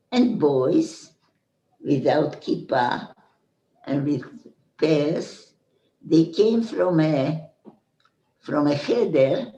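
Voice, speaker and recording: Elderly woman with Hungarian accent, slow. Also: low-pitched, dark tone. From Hungarian-speaking Central Europe. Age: 90s. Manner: halting, repeats and restarts phrases, asks "Okay?". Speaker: Holocaust survivor giving testimony. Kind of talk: slow personal recollection. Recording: roomy echo.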